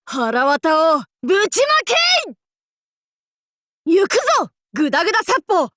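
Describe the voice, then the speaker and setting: Very high, bombastic demon king warlord. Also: big pitch swings, takes it slowly, a bit bright, dry recording, clean studio sound, full character voice. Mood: battle fury. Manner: haughty old-fashioned lordly speech, theatrical battle cries. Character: boisterous female demon king warlord, cackling, archaic and bombastic. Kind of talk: Japanese anime mobile game voice acting.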